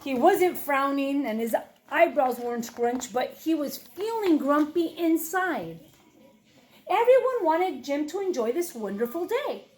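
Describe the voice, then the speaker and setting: A woman's voice: high-pitched, sing-song, a little slow, a little mellow. A woman storyteller reading a children's picture book expressively, with questioning asides to her young listeners. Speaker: read-aloud storyteller, teacher.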